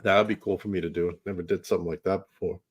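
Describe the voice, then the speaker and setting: A man's voice: sits low, fairly even intonation, racing, slightly rough. A man with a New York accent hosting a podcast, upbeat and easygoing, laughing along with his guest. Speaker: podcast host. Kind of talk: casual podcast interview.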